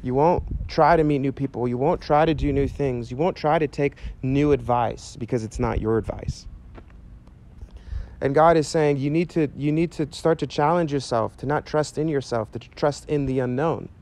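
A man in his thirties preaching outdoors to a camera, earnest and animated, talking casually with fillers. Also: medium pitch, moderate pace. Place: outdoors by a chain-link fence on a sunny day. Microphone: handheld mic, medium camera shot.

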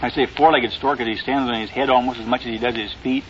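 Fast, folksy man on vintage radio. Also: mid-range voice, fairly even intonation, a crowd in the background. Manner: halting, folksy storytelling. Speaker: guest sports writer. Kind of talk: early 1950s radio interview. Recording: dull, noisy old radio recording.

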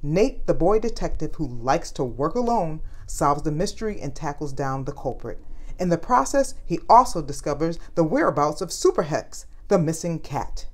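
A woman with a deep voice reads a children's book aloud. Her intonation is lively and swoops up and down. She phrases things deliberately, stretching words for emphasis. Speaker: storyteller reading children's books aloud. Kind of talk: read-aloud story narration.

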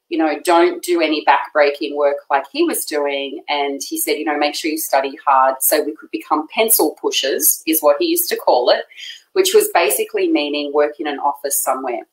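A middle-aged Australian woman talking warmly and effusively as a guest on a video call. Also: low voice, normal speed. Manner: warm, effusive, laughs often. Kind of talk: casual chat. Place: home room. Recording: echoey room on video call.

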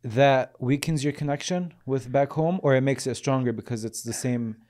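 A young man hosting a podcast, asking calm, open questions and adding short affirmations. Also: mid-range voice, normal speed. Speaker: podcast host. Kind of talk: casual interview hosting. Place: small podcast studio indoors. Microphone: boom-arm studio mic.

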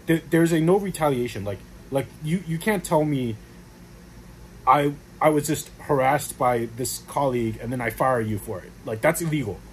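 A young man talks straight to a close camera in a small room at home, rambling through his points with lots of fillers and restarts. His pitch sits in an ordinary middle range, and a faint hum of room sound is heard behind him. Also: normal speed, rough recording. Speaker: vlogger explaining workplace law. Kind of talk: casual explainer to camera.

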